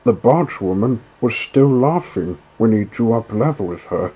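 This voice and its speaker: A man's voice: lowish voice, lively intonation, measured pace, mellow, husky, poor audio. A man reading a classic children's story aloud as a scripted narration.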